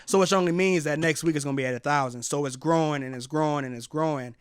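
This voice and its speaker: A man's voice: a little high, quick pace, noisy background. A man hosting a casual radio interview, speaking in an informal, frank and slangy way. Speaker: radio host and DJ interviewing an artist.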